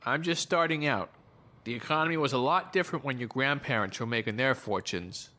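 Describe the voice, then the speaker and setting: A man's voice: mid-range voice, a little fast, pushed and bright, close to an everyday voice. A man acting a chipper, needling lawyer in an audio drama, breezy, sarcastic and boastful. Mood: probing.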